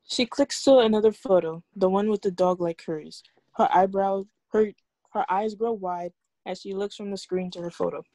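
A woman narrates stage directions from a film script at a table read. Her mid-pitched voice is mellow and dark, with a dry, close sound over a video call. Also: steady tone, moderate pace, dry recording, poor audio.

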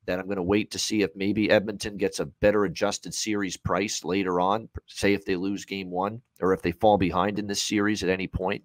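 Low-pitched man talking sports bets. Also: flat delivery, brisk, few pauses, no room sound, low-quality recording. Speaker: sports betting analyst on a hockey preview show. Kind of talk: unscripted sports betting commentary. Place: small home room, indoors, on a video call.

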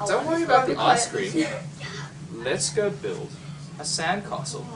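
A young man reads a children's storybook aloud in a high voice that swoops up and down. He takes his time, with dramatic pauses for young listeners. The microphone sits at a distance. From Australia. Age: young adult. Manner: expressive, dramatic pauses and exclamations. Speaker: picture book author reading aloud. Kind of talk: animated children's storybook reading. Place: library, among bookshelves. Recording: distant mic, noisy room ambience.

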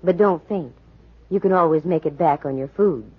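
A sassy female private eye from a 1940s radio detective show. Her voice is husky and her delivery dry and sardonic. The sound is muffled, like an old broadcast. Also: deep voice, normal speed. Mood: dryly teasing.

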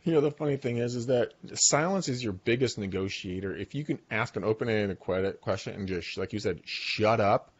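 A man in his forties hosting a podcast from his home office, talking with a guest over a video call and telling stories in an animated, talkative way. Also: on the low side, brisk, hardly any pauses, slightly rough, highs cut off. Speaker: podcast host. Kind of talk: casual video-call interview. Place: home dining room office. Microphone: boom mic on arm.

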